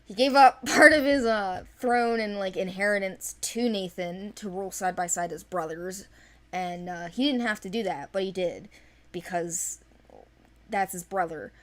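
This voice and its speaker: A woman's voice: mid-range voice, normal speed. A woman chatting casually and enthusiastically about characters, rambling in an informal way. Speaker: animation project team member. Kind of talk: casual chat discussing characters.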